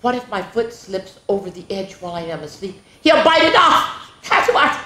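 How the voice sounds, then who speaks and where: A woman's voice: medium pitch, very animated, moderate pace, sharp tone, echoey room. A woman voicing a frightened, dramatic young schoolboy from a storybook, with exclaiming, breathless delivery. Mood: scared, urgent.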